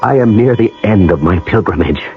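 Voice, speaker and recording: A man acting in a 1980 radio drama as a brooding man on a pilgrimage, telling it in a measured, atmospheric first person. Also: lowish voice, animated, close to an everyday voice. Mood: awed, intent. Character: brooding American man on an ancestral pilgrimage, narrating. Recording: dull old radio broadcast with music.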